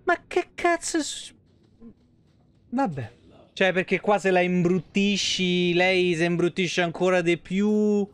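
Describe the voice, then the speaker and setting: A man's voice: sits high, lively intonation, takes it slowly, clean tone, faint music behind. A man in his thirties giving casual, slangy, sweary and sarcastic live commentary on a game. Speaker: game streamer. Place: small room at home, indoors.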